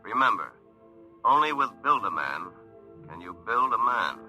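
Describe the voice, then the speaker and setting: A self-assured, successful attorney, narrating in a fairly low voice. He is wry and confiding, in old radio drama style, with clear music underneath. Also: very animated, normal speed, poor audio. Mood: wry recounting. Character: self-satisfied, successful male attorney recounting his story. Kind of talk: 1950s radio drama first-person narration. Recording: 1950s radio broadcast, music behind.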